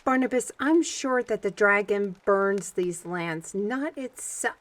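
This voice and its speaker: A woman playing an excitable, easily flustered young squire in a fantasy audio drama, full of exclamations. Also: medium pitch, slow pace. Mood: reassuring.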